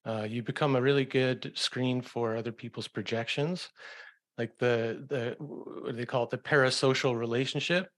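A man's voice: mid-range voice, normal speed. A man hosting a podcast, speaking in a casual conversational style. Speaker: podcast host.

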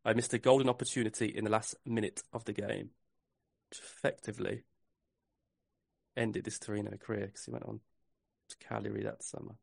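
A man's voice: on the low side, expressive, creaky, no echo. Dry-sounding male quizmaster. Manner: reads clues slowly and repeats them. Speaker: podcast host and quizmaster. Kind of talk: casual podcast quiz.

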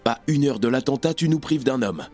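Man acting an indignant militant, very animated pitch. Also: a little fast, soft background music, close to an everyday voice. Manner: curt, accusing. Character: indignant, challenging male revolutionary militant. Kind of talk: French radio theatre acting.

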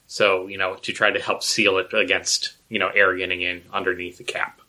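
A man's voice: low voice, level tone, normal speed, sharp tone, poor audio. A man co-hosting a podcast, speaking in an easygoing way and often murmuring agreement. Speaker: podcast co-host. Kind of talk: casual podcast chat.

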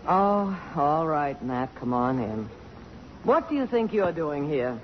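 An actress playing a self-possessed, sharp-witted middle-aged congresswoman, earnest and commanding, in 1950s radio drama style. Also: low-pitched, big pitch swings, slow pace, heavily acted. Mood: stern, suspicious. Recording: muffled, noisy old radio recording.

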